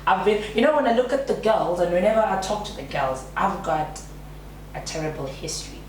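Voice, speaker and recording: A woman from South Africa, a teacher, chatting casually in an interview with a lively manner and frequent laughs. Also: fairly low pitch, fairly quick. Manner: lively, laughs often, animated when joking. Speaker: guest, teacher in China. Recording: echoey room, distant mic, faint noise.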